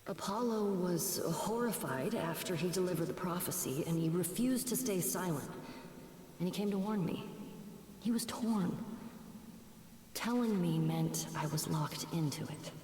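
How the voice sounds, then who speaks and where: An actress playing a gentle, remorseful muse in a video game drama, speaking solemnly with breathy, half-whispered phrase endings. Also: female voice, on the low side, unhurried, natural voice. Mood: earnest, regretful. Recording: echoey reverberant game audio with hiss.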